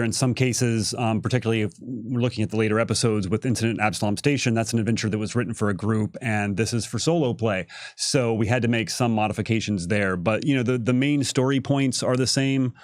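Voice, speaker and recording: A man's voice: fairly low pitch, no room sound, studio quality. A man presenting on a livestream in a casual, explanatory way as a creative director. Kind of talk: casual explanatory panel chat.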